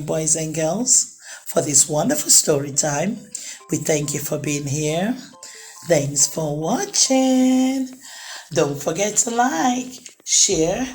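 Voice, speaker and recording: Female storytime narrator: expressive and animated, unhurried delivery for young children, over music. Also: sits low. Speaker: children's storytime reader and host.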